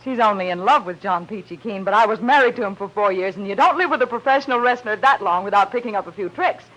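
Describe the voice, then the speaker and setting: An actress playing a tough, sharp-tongued woman in a 1940s radio detective drama. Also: mid-range voice, fast talker, natural voice. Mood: brisk, proud. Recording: dull muffled vintage radio recording.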